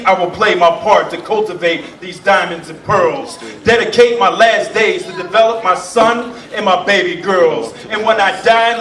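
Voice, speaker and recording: A man's voice: high-pitched, fairly bright tone, roomy sound, recorded from a distance, low-quality recording. A man performing spoken word poetry on stage in a rhythmic, impassioned, rhyming delivery. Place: performance venue. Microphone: stage mic, heard from a distance.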